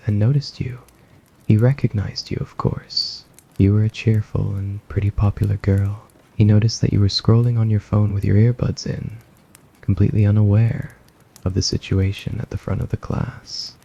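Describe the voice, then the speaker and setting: A man narrates an ASMR story in a low voice full of gravelly fry. His delivery is soft and scripted, with a husky edge. The recording sounds slightly dull and lo-fi. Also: level tone, normal speed.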